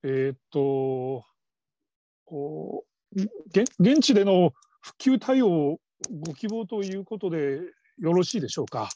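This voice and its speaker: Man acting a hesitant, slow-spoken call-centre father. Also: a little high, expressive, mellow. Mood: flustered. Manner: hesitant, stammering, stiffly formal customer-service politeness. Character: flustered father working as a polite call-centre operator. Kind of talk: radio drama acting. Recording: dull lo-fi audio.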